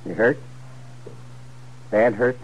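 A man's voice: medium pitch, slow, gravelly, slightly rough, muffled recording, full character voice. An American actor playing a grave, pious, grieving old frontier father, terse and solemn, in a 1950s radio western. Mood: flat, grim. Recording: noisy vintage 1950s radio recording.

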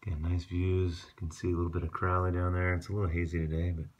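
A man, a real estate agent, giving a relaxed, offhand walkthrough of a condo while filming on a handheld phone. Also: sits low, quick pace. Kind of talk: casual property walkthrough. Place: condo interior, walking through rooms.

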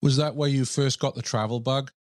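A man's voice: mid-range voice, fairly even intonation, a little fast, a little mellow, no room sound, clean studio sound. Polite male podcast host asking questions. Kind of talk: podcast interview.

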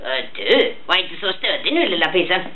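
A man voicing a playful, fatherly cartoon bear, his voice squeaky from helium. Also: big pitch swings, bright, forceful tone, full character voice. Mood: blustering, teasing. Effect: helium-altered squeaky voice. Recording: faint background noise, amateur camera.

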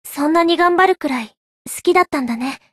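A woman's voice: high voice, fairly quick, fairly bright tone, dry recording, clean studio sound. A woman playing an earnest, innocent teenage girl in an anime game, sweet and sincere. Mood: curious, shy. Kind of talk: Japanese anime game voice acting.

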